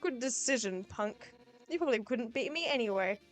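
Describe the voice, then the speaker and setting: A woman voicing a brash female fish warrior who yells and trades cocky smack talk. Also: a little high, expressive, moderate pace, faint music behind, natural voice. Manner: yelling, cocky trash talk.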